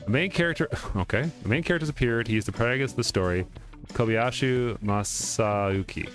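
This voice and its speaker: A man's voice: medium pitch, brisk. A man playing a game, reading its text aloud and breaking off for informal asides as he comments. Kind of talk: let's play commentary with dialogue read aloud. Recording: music behind the voice.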